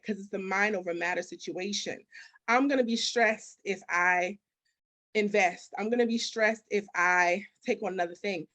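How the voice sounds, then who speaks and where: A woman's voice: medium pitch, fairly bright tone. Emphatic female coach being interviewed. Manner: emphatic, repetitive, rhetorical questions. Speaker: business architect and mindset coach, interview guest. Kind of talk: conversational motivational interview answers.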